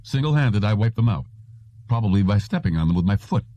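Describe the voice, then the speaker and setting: A man's voice: lowish voice, lively intonation, brisk, dark tone, dry recording, lightly acted. A man voicing a desperate, wry hunted former agent in an audiobook. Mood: wry, pleased. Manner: pleading, wry. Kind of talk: audiobook character reading. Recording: dull, muffled lo-fi audio.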